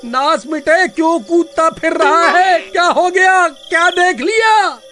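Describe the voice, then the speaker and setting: Man voicing a high-pitched panicky village coward. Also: takes it slowly, music in the background. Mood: frightened. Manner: excitable, whining, scolding. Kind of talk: cartoon horror-comedy voice acting.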